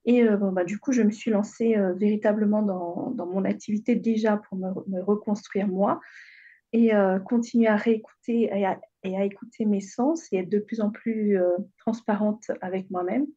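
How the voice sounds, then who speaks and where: A woman in her thirties from Réunion recounts her story as a radio guest, pausing often with fillers. Her voice is mellow and slightly breathy. The sound comes through a muffled, lo-fi line. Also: medium pitch, fairly even intonation. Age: 30s. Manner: hesitant, frequent fillers, gentle storytelling. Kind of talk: interview, personal story.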